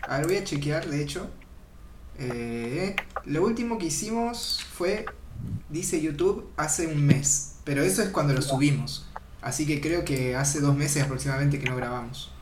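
A man hosts a relaxed chat, wandering through his points with fillers. His voice sits at an ordinary pitch. The room around him rings with echo, and a clear noise runs underneath. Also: moderate pace. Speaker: podcast host. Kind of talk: casual chat.

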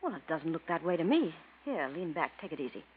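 A sly, chummy beauty operator who coaxes secrets out of people, her voice lively in pitch. The old radio recording is dull, with a faint hiss behind it. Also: a little fast. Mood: coaxing. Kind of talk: 1940s radio acting.

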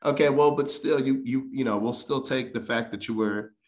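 A man's voice: mid-range voice, normal speed. A man from North Carolina hosting a casual interview, encouraging his guest and jumping in with questions. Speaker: interview host.

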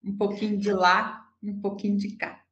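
A woman in her sixties from Portugal, with an accent softened by years in Brazil, speaking as a psychologist guest in a reflective, halting, deliberate way. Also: mid-range voice, level tone, dull audio. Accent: Portuguese softened by decades in Brazil. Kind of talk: reflective conversational interview. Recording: echoey room with background noise.

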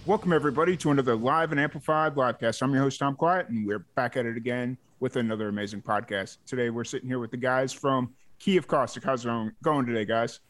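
This is a male podcast host in the middle of an easy interview. He rambles and restarts as he frames his questions, and he keeps the tone casual. His voice sits at an everyday middle pitch. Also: fast talker, hardly any pauses, a bit bright.

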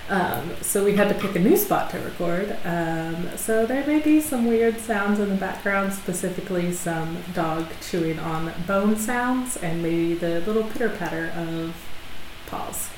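Woman, lowish voice, gravelly, chatty and rambling, echoey dining room, hissy recording. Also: a little slow, darkish tone. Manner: chatty, laughs often, rambling. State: allergies. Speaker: podcast co-host. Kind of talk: casual chat.

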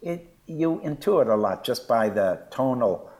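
A man's voice: mid-range voice, animated, a little slow, darkish tone. An older man chatting as a podcast co-host, thoughtful and hesitant with many fillers. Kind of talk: casual conversation.

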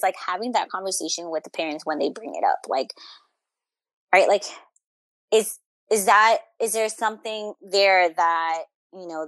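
A woman's voice: mid-range voice, fairly bright tone, no echo. A young adult woman talking casually as an interview guest, in an informal, hesitant, meandering and self-reflective way. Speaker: family therapist, interview guest.

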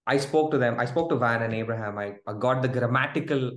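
A man recounts a personal story as an interview guest. He talks in an animated way and laughs often. His voice carries a noticeable room echo. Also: mid-range voice, fast talker. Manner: animated, laughing, restarts while telling a story. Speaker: guest, groom-to-be. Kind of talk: casual storytelling. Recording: roomy echo.

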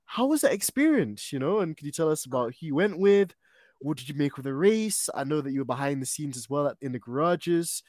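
A man's voice: on the high side, very animated, fairly quick, darkish tone, dry recording, clean studio sound. A man hosting a podcast interview, upbeat, prompting his guest with questions and easy laughs. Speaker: podcast host.

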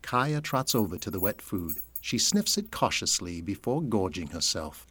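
A man's voice: fairly low pitch, big pitch swings, normal speed, bright, forceful tone, slightly rough, no echo. A man narrating an audio drama from a script, in present-tense storytelling. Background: music.

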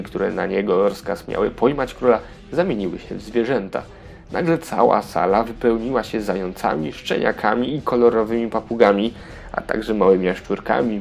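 A man's voice: lowish voice, gravelly, a little mellow. A man narrating a scripted children's fairy tale as a storyteller.

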